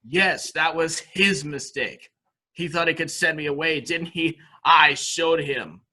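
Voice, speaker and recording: Unhinged boy-like murderer played by a man: highish, very sharp, gloating and repetitive, for an amateur mystery drama. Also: measured pace, exaggerated voice. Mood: boastful, sulky. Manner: sing-song boasting with giggles and repeated phrases. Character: childlike, gloating, dangerously unhinged killer named John.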